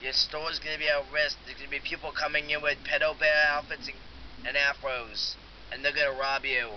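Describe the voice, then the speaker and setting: A man's voice: on the high side, level tone, fairly quick, lightly acted. Man posing as pushy technician, muffled hissy call. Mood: insistent. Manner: insistent, pleading, repetitive. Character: pushy, insistent male phone security-company technician. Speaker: prank caller. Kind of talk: prank phone call. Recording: dull lo-fi phone recording with hiss.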